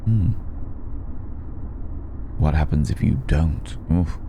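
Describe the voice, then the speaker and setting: An extremely deep-voiced, suave old vampire, acted by a man in an intimate ASMR roleplay. He speaks in a very dark, gravelly tone with refined, wry pauses, over faint background noise. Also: exaggerated voice. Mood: amused, matter-of-fact. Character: suave, worldly old male vampire, amused and courtly. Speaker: voice actor in audio roleplay.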